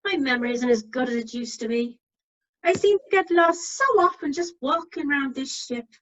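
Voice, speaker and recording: A woman's voice: sits high, moderate pace, a bit bright. A woman playing a chatty, forgetful, self-deprecating cruise passenger in an amateur radio comedy. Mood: chatty, wry. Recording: dull online meeting audio.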